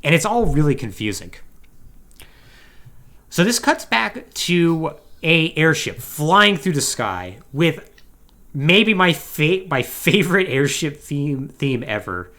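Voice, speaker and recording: Animated man recapping a story, swinging pitch. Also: takes it slowly. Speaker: podcast co-host. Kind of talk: casual comedic plot recap, conversational.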